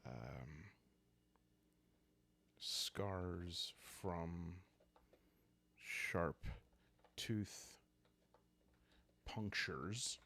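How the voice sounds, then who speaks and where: A man hosting a solo roleplaying game in a calm ASMR storytelling style, hesitantly thinking aloud as he improvises. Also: deep voice, vocal fry.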